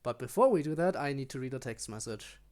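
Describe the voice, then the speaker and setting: A man's voice: mid-range voice, lively intonation, fast, a little mellow. A man streaming a video game, giving casual commentary and muttered asides. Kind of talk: casual live gaming commentary.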